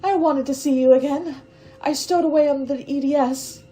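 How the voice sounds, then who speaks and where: A woman's voice: high-pitched, steady tone, moderate pace, warm tone, faint music behind, close to an everyday voice, rough recording. A woman playing a brave, tender young stowaway facing death in a radio drama, speaking in a wistful, hesitant, earnest way. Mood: tender, sad.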